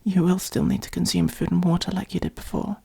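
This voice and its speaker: A gentle, protective vampire played by a woman speaks in a soft, husky whisper, thick with vocal fry. The tone is mellow and patient, soothing and reassuring in the manner of a comforting ASMR roleplay. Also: fairly low pitch, level tone, quick pace, full character voice. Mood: patient explaining. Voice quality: breathy, creaky. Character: gentle, protective adult vampire caring for a fledgling.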